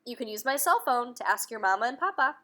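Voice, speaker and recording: A woman's voice: fairly high pitch, animated, fast talker, bright, forceful tone. A woman voicing a friendly male bear professor in a storybook, eager, encouraging and full of explanations.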